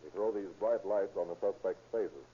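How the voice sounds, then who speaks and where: An actor playing a brisk, businesslike police lieutenant pressing his questions in a 1930s radio drama. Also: fairly low pitch, mellow, close to an everyday voice. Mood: commanding. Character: brisk, insistent male police detective lieutenant. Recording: hissy muffled 1930s radio recording.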